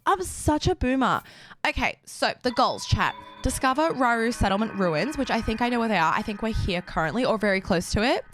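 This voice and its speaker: A young woman streamer with a slightly high voice that bounces all over as she chats. She talks to her viewers in a bubbly, easygoing way, her voice a little raspy from the early hour. Faint game music plays underneath. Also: animated, fairly bright tone. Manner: bubbly and chatty, laughs often, quick asides to chat. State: slightly raspy, just woken up. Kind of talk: casual chat with a live stream audience.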